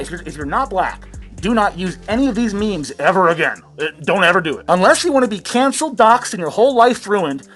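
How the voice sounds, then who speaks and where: A man's voice: high voice, fairly bright tone. Sarcastic, profane rant host man. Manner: sarcastic, rambling, self-amused, swears a lot. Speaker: host of a satirical commentary channel.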